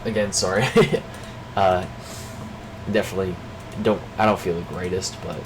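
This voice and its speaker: A young man with a fairly low voice and a stuffed-up nose, chatting off the cuff in a loose daily check-in. He rambles, restarts his sentences and leans on filler words. An air conditioner hum and background noise sit under his voice. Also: fairly even intonation, moderate pace. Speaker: vlogger.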